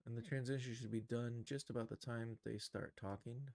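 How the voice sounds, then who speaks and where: A man thinking aloud through a casual live software tutorial, in an informal, musing, tentative manner. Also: medium pitch, steady tone, fairly quick, gravelly. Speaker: streamer teaching animation software.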